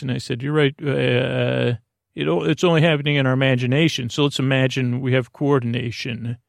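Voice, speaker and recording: A man hosting a sleep podcast, wandering through a silly, halting bedtime monologue full of restarts and asides. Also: medium pitch, creaky, no echo, studio quality. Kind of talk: rambling, meandering bedtime monologue.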